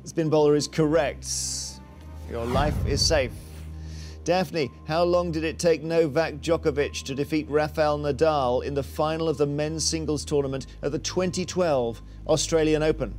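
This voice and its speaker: British guy hosting a tv quiz, voice a bit high, music under him. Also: normal speed, a little mellow. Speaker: quiz show host. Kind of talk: TV quiz presenting, scripted links and banter.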